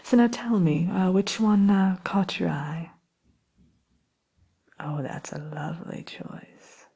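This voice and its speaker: Woman acting as a wicked queen shopkeeper, low hushed voice, crackly fry, slow and genteel, sly menace, ASMR role play. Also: full character voice. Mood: sweetly courteous. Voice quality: soft, breathy, often whispered. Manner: unhurried, genteel, slyly menacing.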